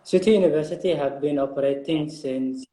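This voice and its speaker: A man from Eritrea, working as an international student consultant, speaking haltingly as a guest on a live online chat. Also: on the high side, dark tone, roomy sound, poor audio. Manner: halting, with fillers and restarts.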